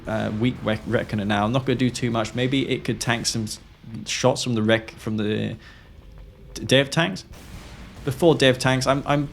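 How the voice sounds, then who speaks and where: Man with sore throat commentating gameplay, noisy background. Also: mid-range voice. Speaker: strategy game commentator and player. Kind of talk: gameplay commentary of own match.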